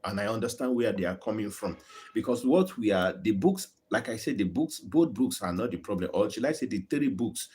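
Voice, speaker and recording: A man's voice: lowish voice, animated, brisk, mellow, husky. A Nigerian man with a Yoruba accent, hosting his own channel and arguing a point earnestly to viewers, often repeating words as he builds it. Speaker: YouTube channel host. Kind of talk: live-streamed opinion talk to viewers.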